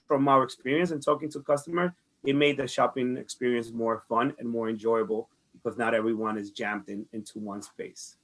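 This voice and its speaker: Male market manager, Dominican accent, earnest interviewee, mid-pitched voice, conversational delivery. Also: steady tone, brisk, a bit bright, low-quality recording. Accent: Dominican Spanish. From Dominican Republic. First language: Spanish. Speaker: farmers market director being interviewed.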